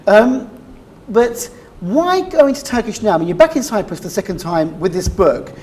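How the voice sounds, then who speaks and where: Chatty talk show host with faint hiss. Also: female voice, medium pitch, very animated, normal speed, dark tone. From United Kingdom. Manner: chatty, leading questions with "I mean". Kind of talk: broadcast interview.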